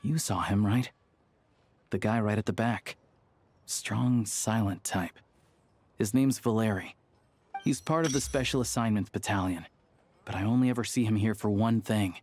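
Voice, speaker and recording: A man's voice: on the low side, normal speed, long pauses, darkish tone, lightly acted. An actor playing an easygoing, knowledgeable local man in a video game, talking in a casual, conversational way. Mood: relaxed, friendly. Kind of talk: video game character dialogue.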